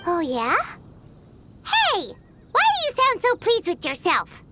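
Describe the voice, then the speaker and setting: A woman voicing a tiny childlike fairy companion in a video game, squeaky, excitable, blunt and sassy. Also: slow pace, slight background noise, full character voice. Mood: suspicious, sassy. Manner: speaks of herself in third person, sassy. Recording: loud music behind.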